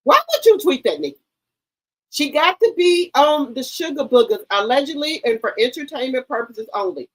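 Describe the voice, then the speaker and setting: A woman's voice: high voice, expressive, normal speed, a bit bright, rough recording. A woman in her fifties hosting a gossip livestream, chatting straight to her viewers. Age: 50s. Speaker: livestream host.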